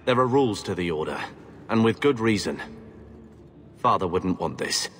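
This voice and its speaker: Stern, low-voiced warden. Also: male voice, expressive, normal speed. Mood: stern, doubtful. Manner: firm, disapproving. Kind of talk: fantasy game voice acting. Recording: long hall reverb, faint ambient noise.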